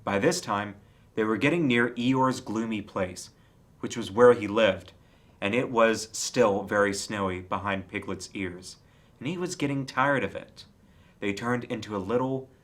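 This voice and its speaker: A man reading a children's story aloud as an expressive scripted narrator. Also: on the low side, a little slow. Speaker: storybook reader.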